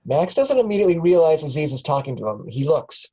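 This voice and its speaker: A man's voice: a little high, very animated, fast, darkish tone, breathy edge, rough recording. A man reading the stage directions aloud at a script table read, plain with small chuckles.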